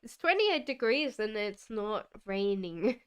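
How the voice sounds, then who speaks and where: Slow, smooth Australian-British woman. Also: mid-range voice, very animated. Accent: Australian mixed with British. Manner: chatty, reads viewer comments aloud, laughs often. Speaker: tarot reader. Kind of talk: live-stream tarot reading. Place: home in the UK.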